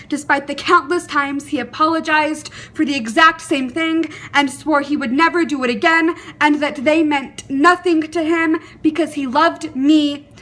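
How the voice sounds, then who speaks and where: A young adult woman performing a dramatic monologue in an earnest, deliberate, emotive way, with pauses. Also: sits high, a bit bright.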